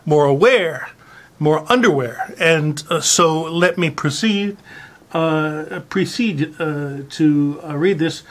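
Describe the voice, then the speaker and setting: This is a slow-paced presenter with a deadpan, mock-serious delivery. The speech is halting and hesitant, as if each phrase is being weighed while reading aloud to listeners. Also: mid-range voice.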